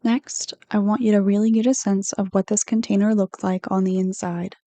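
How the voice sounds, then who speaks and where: A woman leads a guided meditation as a counselor would, with a mellow, relaxed tone. Her delivery is soothing and unhurried, staying on a level pitch as she gives gentle instructions. The sound is dry and close, free of room echo. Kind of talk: guided meditation, read from a script.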